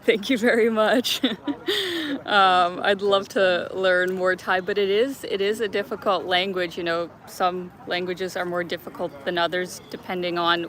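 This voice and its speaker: A woman's voice: fairly low pitch, flat delivery, a little slow, a bit bright. A young woman hosting a travel live stream outdoors in a city park, chatty and laughing often as she greets viewers by name.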